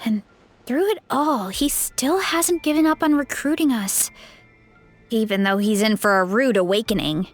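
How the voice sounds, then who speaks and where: A woman playing an earnest, thoughtful young female adventurer in a video game, with a reasoning, gently skeptical manner. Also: fairly high pitch, normal speed, faint music behind, lightly acted. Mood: pondering.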